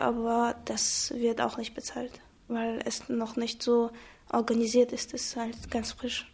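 Soft-spoken young woman, flat delivery. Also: a little high, gravelly, breathy edge. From Chechnya. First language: Chechen. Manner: reflective, soft-spoken, hesitant with frequent pauses. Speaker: interviewee. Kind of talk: personal testimony.

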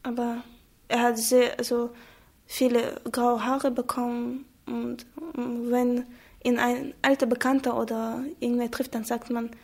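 A young woman from Chechnya, a native Chechen speaker, giving a reflective, hesitant personal account with frequent pauses. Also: on the high side, little pitch movement, creaky, a little mellow. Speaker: interviewee. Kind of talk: personal testimony.

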